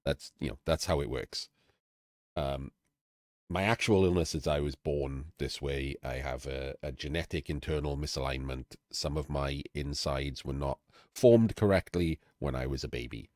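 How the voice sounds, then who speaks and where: A middle-aged man with a Welsh accent and an extremely deep voice. He talks casually and candidly, dropping in fillers and clearing his throat now and then. His voice is recorded close and dry, with no room around it. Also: moderate pace, a little mellow, dry recording. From Wales. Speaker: streamer and channel host. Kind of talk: casual talk to camera-less audience.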